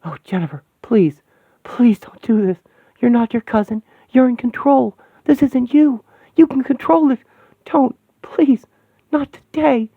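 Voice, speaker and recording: A man voicing a small heroine who pleads in a soft, high falsetto, over tinny, phone-like audio. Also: a little slow, gravelly, no room sound, heavily acted. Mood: pleading.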